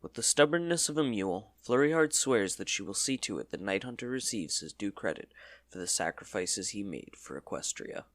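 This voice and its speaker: A man's voice: fairly low pitch, animated, brisk, a bit bright, dry recording. A man narrating a scripted story in a dramatic storybook style.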